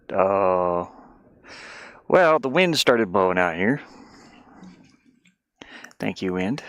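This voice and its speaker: A man's voice: on the low side, creaky, dry recording, studio quality. A middle-aged man chatting casually on a livestream while he works, hesitant and muttering to himself. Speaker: livestreamer and hobby musician.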